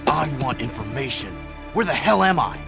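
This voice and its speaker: A brooding young video game hero, with a gruff, fry-edged voice at a medium pitch. He is terse and angry. Loud music sits behind him. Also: big pitch swings, moderate pace. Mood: demanding. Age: young adult. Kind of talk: animated video game acting.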